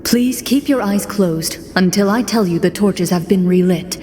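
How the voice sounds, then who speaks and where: An actress playing a composed female duelist who narrates as game master in a video game, formal and measured. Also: mid-range voice, dark tone, slight background noise, lightly acted. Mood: solemn, composed.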